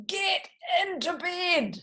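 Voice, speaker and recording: A woman's voice: very high voice, big pitch swings, slow pace, vocal fry, bright, forceful tone, voice pushed up, full character voice. A woman voicing a big male bear who firmly coaxes a little one to bed in a children's storybook. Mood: commanding. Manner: coaxing, firm commands. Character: big grown-up male bear, firm bedtime carer.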